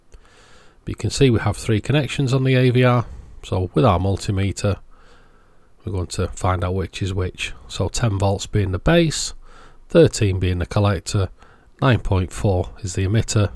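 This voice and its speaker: A man narrates an instructional video in a fairly low voice. His delivery is plain and methodical, laying out one step after another. The sound is very dry, with no room echo. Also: a little mellow. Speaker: host of electronics repair tutorials.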